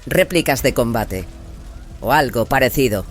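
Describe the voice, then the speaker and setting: Mujer interpretando a una soldado curtida y urgente. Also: female voice, extremely low pitch, animated, a little slow, soft background music. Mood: tense, urgent. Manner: urgent, soldierly. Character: Lece, hardened female battle sister reporting under fire. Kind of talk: audio drama acting.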